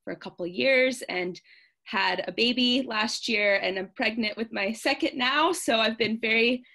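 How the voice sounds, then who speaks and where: A woman's voice: fairly high pitch, normal speed, creaky, sharp tone. A woman in her thirties from California with an American accent, introducing herself chattily as a guest poet over a video call, with hesitant fillers.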